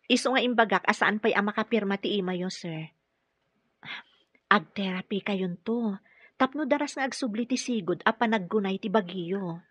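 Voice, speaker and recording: A woman's voice: mid-range voice, moderate pace, slightly rough, no room sound, natural voice. A woman with an Ilocano Filipino accent playing a kind, dutiful caregiver in a radio drama, polite and earnest. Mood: encouraging.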